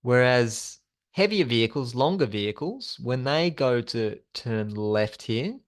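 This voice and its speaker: A man's voice: mid-range voice, expressive, unhurried. A man presenting a slide-based training session as an instructor, explaining things in an informal, conversational way.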